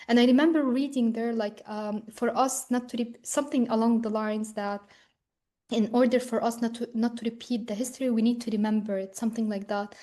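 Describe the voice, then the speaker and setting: Young woman, from Ukraine, earnest, slightly high voice, casual "like" fillers. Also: dark tone. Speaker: guest speaker. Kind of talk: earnest personal testimony.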